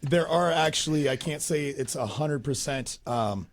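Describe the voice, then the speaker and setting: A man talking as a guest on a casual studio show, explaining health products in an earnest, measured way. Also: medium pitch, lively intonation, dry recording. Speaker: probiotics company guest.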